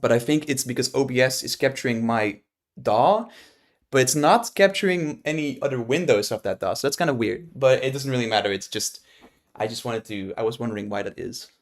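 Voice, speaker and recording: A young man from the Netherlands talks through his music software on a live stream, in a mid-pitched everyday voice. He rambles with plenty of fillers and restarts, now and then jumping up in loud surprise. It sounds like a desk microphone in a small home studio. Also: brisk. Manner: rambling, many fillers and restarts, sudden excited outbursts. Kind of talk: casual live-stream talk while working.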